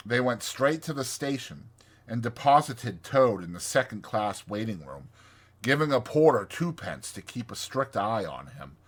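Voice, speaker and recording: A man narrating a dramatized audiobook story in a measured, clearly phrased and expressive way. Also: medium pitch.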